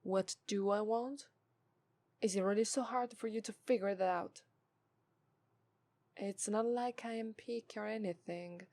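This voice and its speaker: A woman acts out a haughty demon femme fatale for an ASMR roleplay. Her English carries an Italian accent, with a mid-range pitch and condescending, scornful delivery. The sound is very dry and close. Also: a little mellow, dry recording. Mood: impatient, scornful. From Italy. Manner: condescending, drawn-out disdain, breathy asides.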